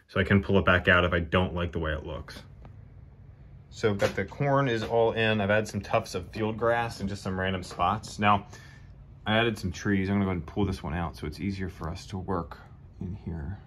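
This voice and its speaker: A man casually explaining his model railroad scenery work, thinking aloud as he goes. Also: deep voice. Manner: relaxed, thinking aloud while working. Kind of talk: casual how-to narration. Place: hobby room at a model train layout.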